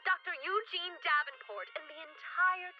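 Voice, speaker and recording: Dull-filtered, very high, chirpy video presenter woman. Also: normal speed, faint music behind. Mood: relentlessly upbeat. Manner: airline safety video cheerfulness. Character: chirpy, saccharine female presenter on institute video. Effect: played through a television speaker. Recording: dull, lo-fi television playback.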